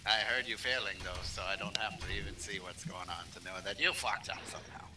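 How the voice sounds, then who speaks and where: A man's voice: on the low side, a little fast, pushed and bright. A man chatting casually as a gaming partner, joking and teasing as he talks. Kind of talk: casual gameplay chat.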